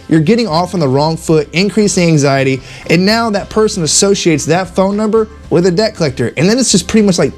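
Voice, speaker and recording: Guy with a kinda high voice doing a casual coaching vlog, emphatic, repeats himself, music playing behind. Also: a little mellow. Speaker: insurance agent giving sales tips. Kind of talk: casual instructional vlog monologue.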